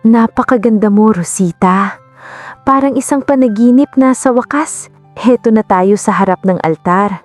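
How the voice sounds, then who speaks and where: A woman voicing a devoted male suitor in a romantic story, speaking with gentle, patient sincerity. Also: on the high side, moderate pace, faint music behind, close to an everyday voice. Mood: tender.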